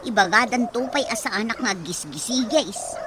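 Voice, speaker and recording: A woman's voice: mid-range voice, sing-song, moderate pace. A woman in an Ilocano radio comedy drama, playing someone fretting over new dentures. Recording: noisy background hiss.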